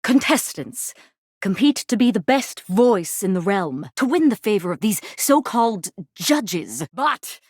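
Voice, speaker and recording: A woman voicing a proud, fervent warrior woman in a video game, theatrical and declamatory, in archaic high-flown speech. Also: big pitch swings, unhurried, slightly rough, no echo, studio quality. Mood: bombastic, fierce. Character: proud, fervent female warrior, grandiose and lovestruck. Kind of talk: theatrical game character acting in archaic English.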